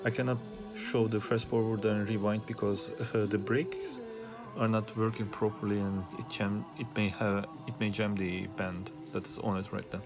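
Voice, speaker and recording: A man giving an unscripted troubleshooting demonstration as a hobbyist repairer, speaking haltingly with frequent pauses and hesitations. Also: fairly low pitch, lively intonation, music in the background. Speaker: hobbyist repairer asking viewers for help. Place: small indoor workspace at a repair bench.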